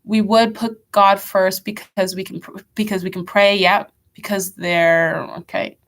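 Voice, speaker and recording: A woman's voice: medium pitch, level tone, unhurried. A woman leading an online children's church lesson, chatty and encouraging, with a stuffy nose from allergies. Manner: chatty, encouraging, reads out kids' comments.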